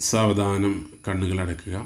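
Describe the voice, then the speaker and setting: A man with a Malayali accent leading a guided meditation, giving slow, soothing instructions in short phrases with pauses. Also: deep voice, level tone.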